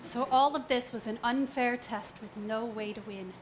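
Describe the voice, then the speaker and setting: A woman's voice: on the high side, normal speed, close to an everyday voice. A woman playing an earnest young alien lieutenant, formal, prim and strictly logical, in a stage parody. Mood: indignant. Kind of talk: comic stage parody acting. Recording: noisy live stage room.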